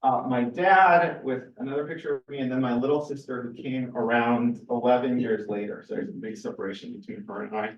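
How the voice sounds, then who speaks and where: A man's voice: mid-range voice, fairly even intonation, a little fast, hardly any pauses. A man in his forties from California speaks as a scientist giving an informal, personal lecture in a conversational way, often correcting himself. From California, USA. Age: 40s. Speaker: research scientist giving a seminar. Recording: roomy, distant, muffled lecture recording.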